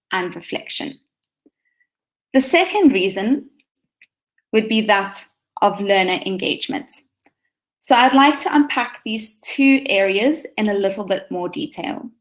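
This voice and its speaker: A woman from South Africa with a South African accent, giving an eager, upbeat talk to fellow teachers over a video call, with frequent ums. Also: mid-range voice. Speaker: teacher presenting to educators. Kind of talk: conference presentation.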